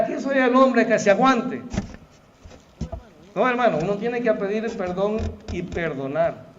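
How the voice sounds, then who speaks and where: High-voiced Salvadoran pastor preaching. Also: male voice, muffled recording, slight background noise. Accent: Salvadoran Spanish. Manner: conversational, addresses the congregation as "hermano". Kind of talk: sermon. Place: church hall.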